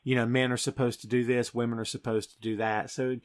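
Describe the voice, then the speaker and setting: A man hosting a film review podcast, talking to listeners in an emphatic, excitable way. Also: medium pitch, brisk. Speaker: podcast host.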